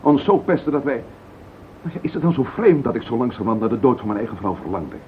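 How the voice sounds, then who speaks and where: Radio drama husband, played by a male actor: bitter, guilt-ridden, halting, swinging widely in tone. Tinny old recording. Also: medium pitch, sing-song, a little hiss or hum, close to an everyday voice. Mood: bitter, anguished. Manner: halting, emotional, self-justifying. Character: distraught husband confessing a murderous marriage, bitter and guilt-ridden. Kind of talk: radio play acting.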